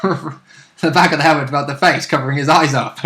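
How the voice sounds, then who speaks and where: A man's voice: fairly high pitch, steady tone, normal speed, bright, forceful tone. A man co-hosting a tabletop role-play recap in casual, joking, sweary chat.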